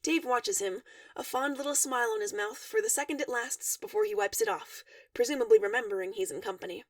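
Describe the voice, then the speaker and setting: A woman giving an expressive, animated read-aloud of a story, acting out the dialogue. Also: sits high, a little fast, creaky, dry recording, far from the mic. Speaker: fan fiction reader.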